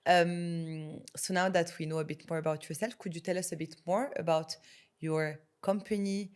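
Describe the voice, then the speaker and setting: A woman's voice: lowish voice, steady tone, normal speed, darkish tone, clean tone. A woman hosting an interview, asking questions and encouraging her guest with short acknowledgments. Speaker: podcast host.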